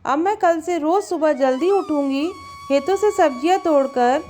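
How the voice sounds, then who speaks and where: Cartoon farmer's wife, woman performer: very high voice, dramatic village homemaker with a scheming streak. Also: normal speed, a little mellow, soft background music, natural voice. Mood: regretful. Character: farmer's scheming, later remorseful young wife. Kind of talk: Hindi moral story cartoon dialogue.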